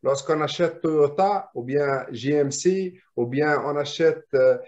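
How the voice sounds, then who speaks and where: A man whose first language is Arabic explains drafting on a video call, restarting and repeating words as he goes. His voice sits a little high. The call audio is muffled and lo-fi. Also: takes it slowly, darkish tone. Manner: explanatory, many repetitions and restarts. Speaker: industrial draftsman guest. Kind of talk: casual video call discussion.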